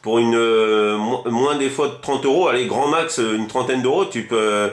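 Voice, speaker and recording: A man's voice: mid-range voice, normal speed. Rambling man, echoey sound. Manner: rambling, repeats himself, enthusiastic. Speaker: amateur CB radio operator. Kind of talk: casual radio chat between hobbyists.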